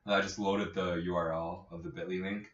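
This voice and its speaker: Low-voiced man in an echoey room. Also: little pitch movement, brisk. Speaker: co-host, app developer. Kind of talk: casual tech podcast chat. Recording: echoey room, distant muffled mic.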